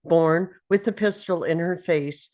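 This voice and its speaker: Woman doing a dramatic script read, voice a bit on the low side. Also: unhurried, darkish tone, lo-fi sound. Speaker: screenplay table reader. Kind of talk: dramatic screenplay narration.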